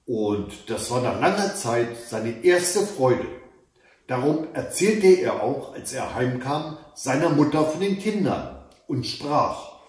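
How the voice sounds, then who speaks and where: Middle-aged male storyteller, unhurried and animated, reading a tale aloud in a reverberant room. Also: medium pitch. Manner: deliberate, careful storytelling delivery. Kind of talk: expressive read-aloud fairy tale narration. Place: indoors in a book-lined room at home. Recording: echoey room, mic away from speaker.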